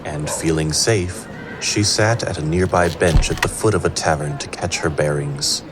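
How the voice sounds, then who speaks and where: A man's voice: rumbling low, moderate pace. A man performing scripted narration of a fantasy tale as an all-knowing storyteller. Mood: measured. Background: prominent music.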